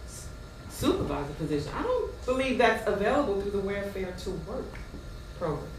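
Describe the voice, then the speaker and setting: An actress plays a condescending female caseworker with a brisk, bureaucratic delivery and a medium-pitched voice. It is stage acting heard from far off in a very echoey hall. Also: sing-song, a little hiss or hum, lightly acted. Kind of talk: theatrical stage play dialogue. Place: theater stage, recorded from a distance.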